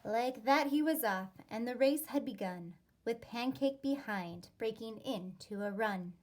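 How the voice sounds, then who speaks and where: Female primary-style reader for rhyming picture book: high-pitched, unhurried, deliberate teacherly delivery. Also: a little mellow. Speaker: teacher reading a storybook aloud. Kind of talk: expressive children's read-aloud of a rhyming story.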